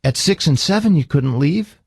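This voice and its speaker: A man's voice: a little high, lively intonation, moderate pace, gravelly, a little mellow, slightly rough, dry recording. A man working as a therapist on radio, probing and explaining insistently, often cutting in. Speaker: psychotherapist hosting a radio counselling segment.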